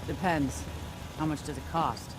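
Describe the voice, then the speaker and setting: Casual, folksy male game customer played by an actor, slightly high pitch, noisy backdrop. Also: fairly even intonation, a little slow, close to an everyday voice. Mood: skeptical. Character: wary but easily won-over male vault customer. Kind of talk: scripted video game character dialogue.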